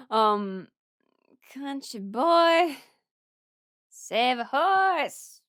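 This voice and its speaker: A young adult woman rambling casually through her opinions as a video creator, expressive and emphatic, blunt but apologetic. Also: high-pitched, extremely slow, no room sound, studio quality. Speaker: video creator ranking characters.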